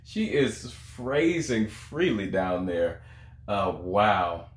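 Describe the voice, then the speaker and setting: Animated young man, amazed and exclamatory. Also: on the low side, drawn-out words. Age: young adult. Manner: exclamatory, amazed, repeats words for emphasis. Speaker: reaction video host. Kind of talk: casual reaction commentary. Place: small room indoors, beside a TV. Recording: roomy, echoey indoor sound.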